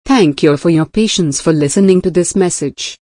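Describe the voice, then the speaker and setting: A woman's voice: lowish voice, moderate pace, mellow, no room sound, studio quality. A woman's synthetic-sounding voice reading a formal scripted emergency broadcast announcement.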